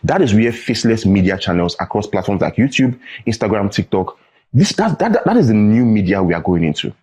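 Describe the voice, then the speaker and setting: A man's voice: on the low side, sing-song, fairly quick, darkish tone, slightly rough. A young adult man with a Nigerian accent, a guest in a studio interview, explaining earnestly and often asking "right?" or "you know". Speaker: tech training founder, guest.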